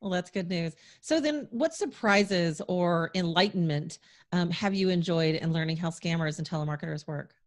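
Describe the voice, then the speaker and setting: Low-voiced woman hosting an interview. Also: normal speed. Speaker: interview show host. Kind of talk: composed interview questioning.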